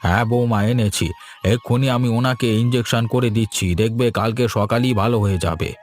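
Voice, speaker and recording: A man voicing a grave but kindly village doctor in a cartoon, with solemn, deliberate delivery. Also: mid-range voice, close to an everyday voice. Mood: reassuring. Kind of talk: Bengali moral-tale cartoon dubbing.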